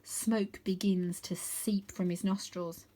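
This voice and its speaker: A woman reading a children's story aloud in an expressive narrating style. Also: medium pitch, slow pace. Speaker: storybook reader.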